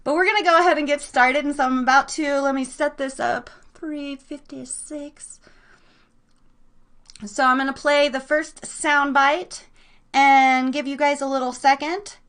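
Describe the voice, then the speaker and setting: A woman with a high-pitched voice hosts a live internet radio show. She is bubbly and excitable, rambling in casual slang as she talks straight to her online audience. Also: unhurried.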